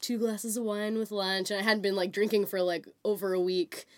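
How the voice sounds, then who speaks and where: A woman co-hosting a podcast in casual chat, her voice raspy from a cold, chatty and laughing often. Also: medium pitch, little pitch movement, brisk, dry recording, studio quality. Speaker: podcast co-host.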